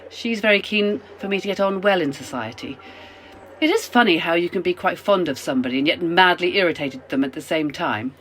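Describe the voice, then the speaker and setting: A talkative British middle-class wife on a journey, played by a woman in a radio play. Her voice is on the low side, polite and self-deprecating, with faint train noise behind it. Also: lively intonation, brisk, a bit bright, poor audio. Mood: chatty.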